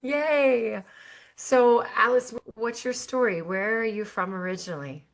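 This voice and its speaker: A woman's voice: fairly high pitch, unhurried, gravelly. A woman hosting a podcast, introducing her guest with gushing, enthusiastic praise. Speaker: podcast host.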